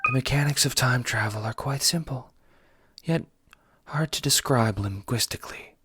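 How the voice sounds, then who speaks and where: A man's voice: mid-range voice, sharp tone, husky, no room sound, clean studio sound, soft background music. Man voicing a formal, blunt alien troll woman. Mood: patient, formal. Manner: formal, measured, few contractions. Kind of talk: audio drama dialogue.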